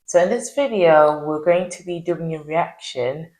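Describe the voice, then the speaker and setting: Low-voiced woman vlogger. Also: darkish tone, echoey room, low-quality recording. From Zimbabwe. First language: Shona. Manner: chatty, laughs easily, quick asides. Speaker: vlogger host. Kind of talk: casual reaction chat.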